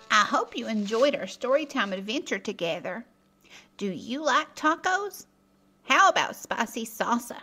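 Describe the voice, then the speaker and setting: A woman narrates a children's picture book in a high, bright voice. She reads slowly and playfully, with expressive storytelling that speaks straight to the young listener and the characters. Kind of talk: animated read-aloud storytelling.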